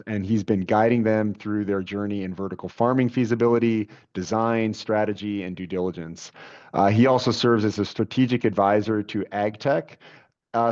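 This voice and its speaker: A man in his forties hosting a webcast interview, chatty and friendly, with fillers and quick interjections. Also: lowish voice, darkish tone. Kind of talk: casual conversational interview. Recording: dull lo-fi audio.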